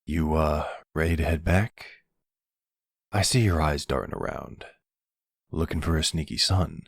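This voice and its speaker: A male actor plays an older mafia boss for an intimate roleplay audio drama. The voice is deep and husky with a slow, measured pace. He sounds calm, devoted and affectionate. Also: no echo, studio quality, exaggerated voice. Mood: tender, relaxed. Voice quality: low, gravelly.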